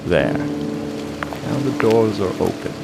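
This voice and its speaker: A man's voice: lowish voice, expressive, takes it slowly, vocal fry, exaggerated voice. An actor playing a vengeful, gloating king in a classical tragedy, grandiose and theatrical. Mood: cold anticipation. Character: vengeful gloating king savoring his brother's ruin. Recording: music under the voice.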